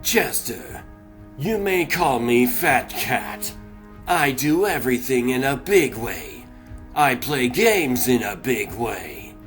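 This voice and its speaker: Pompous cartoon cat gangster boss played by a man, menacing, slow, music underneath. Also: mid-range voice, big pitch swings, a bit bright. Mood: smug menace. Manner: pompous, deliberate. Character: Fat Cat, grandiose smooth-menacing male cat crime boss. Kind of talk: comic dub cartoon acting.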